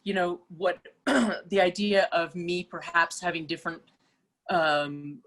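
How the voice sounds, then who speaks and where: A woman's voice: fairly low pitch, steady tone, slow, fairly bright tone. Woman opera singer in casual interview chat, thoughtful, restarts often, laughs easily. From Malaysia.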